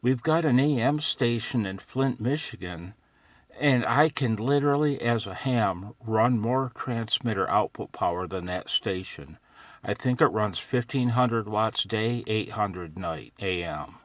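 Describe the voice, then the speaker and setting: Slow-talking older American man, dry close sound. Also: lowish voice, no echo. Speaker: amateur radio hobbyist vlogger. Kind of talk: casual rambling monologue. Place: inside a parked truck.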